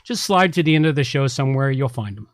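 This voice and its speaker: A man's voice: mid-range voice, expressive, quick pace, no echo, clean studio sound. A middle-aged man from Canada presenting a podcast as its host in an unscripted style.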